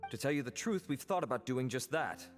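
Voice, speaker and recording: An actor playing a confident, formal male official in a video game, measured, articulate and reassuring. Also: mid-range voice, expressive, in a large hall, music in the background, close to an everyday voice. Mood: explanatory.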